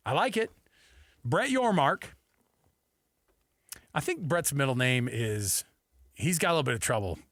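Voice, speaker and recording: Sports talk host, male, medium pitch, chatty and folksy, dry close-mic studio delivery. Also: sing-song, unhurried, long pauses, a bit bright, no room sound. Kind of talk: casual sports radio talk. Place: radio studio. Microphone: close studio microphone.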